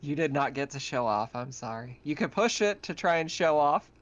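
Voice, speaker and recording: A man's voice: a little high, lively intonation, normal speed. A man running a tabletop game as game master, narrating casually over an online voice chat. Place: online voice call. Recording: dull, lo-fi voice chat.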